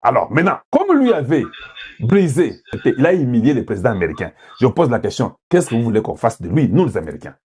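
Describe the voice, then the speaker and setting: Animated man in political commentary. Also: medium pitch, brisk. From Congo. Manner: rhetorical, asks the audience questions, mixes in Lingala. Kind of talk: political commentary addressing viewers.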